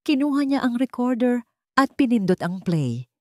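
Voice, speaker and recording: A woman's voice: a little high, sing-song, normal speed, dry recording, studio quality. A woman reading a scripted dramatic first-person story as a narrator. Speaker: storyteller of Tagalog romantic drama stories.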